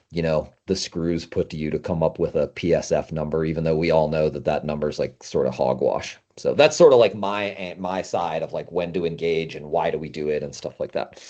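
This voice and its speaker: A man's voice: low voice, darkish tone, highs cut off. A man talking informally and thinking aloud in a casual discussion about his trade, as a podcast host.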